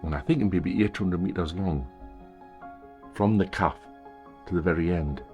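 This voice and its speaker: A man's voice: low-pitched, normal speed, darkish tone, soft background music. An older man chatting casually as a travel vlogger, walking a long pier outdoors and making wry asides. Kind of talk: casual travel vlog narration.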